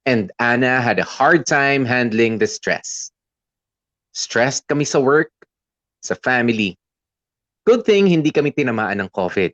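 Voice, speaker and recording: A man's voice: medium pitch, a bit bright, dry recording. Dry, slightly dull acted male confessional storytelling. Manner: confiding, mixes Tagalog and English. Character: weary boyfriend recounting his troubled relationship. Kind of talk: scripted first-person story narration.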